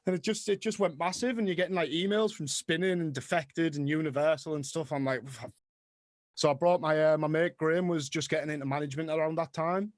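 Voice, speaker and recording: A young man with a Teesside accent talks in a rapid rush, chatty and self-mocking as an interview guest. His voice sits a little high. It is recorded dry and close, with no room sound. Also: steady tone, dry recording. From Middlesbrough, England. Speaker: guest, house music producer. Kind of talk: casual interview chat.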